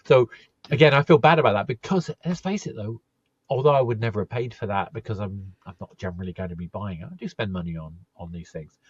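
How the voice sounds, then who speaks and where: A man with a low, slightly husky voice hosts a casual podcast chat, thinking aloud and stumbling over his first words. The audio is dull and dry, like a remote call. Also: dry recording. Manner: thoughtful, halting, repeats words when starting sentences. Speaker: podcast host. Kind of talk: casual conversational interview.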